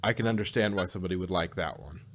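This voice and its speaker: A man's voice: deep voice, very fast, slight background noise. A man chatting casually as a podcast host, hesitant and often repeating and rephrasing himself as he goes. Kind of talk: casual chat.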